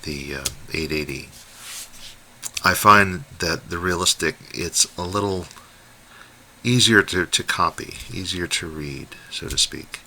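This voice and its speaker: A man hosting an unscripted hobby review, talking in a halting way as he thinks aloud while demonstrating. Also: deep voice, sharp tone. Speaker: host, radio hobbyist reviewer. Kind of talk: unscripted product demonstration commentary. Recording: faint radio noise behind voice.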